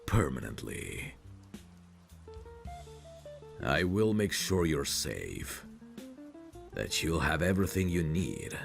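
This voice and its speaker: Man acting a very deep, gravelly mafia boss. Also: very animated, soft background music, heavily acted. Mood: reassuring, persuasive. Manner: slow, deliberate, self-assured, coaxing with pet names. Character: possessive, protective mafia boss, smooth-talking and menacing. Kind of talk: audio roleplay, intimate monologue to a listener.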